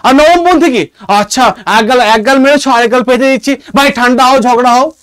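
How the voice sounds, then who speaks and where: A man with an Indian Bengali accent teaching an exam coaching class in a lively, emphatic way, repeating key phrases and questions and often checking that students follow. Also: extremely high pitch, brisk, clear, resonant tone, dry recording. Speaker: history teacher for exam preparation.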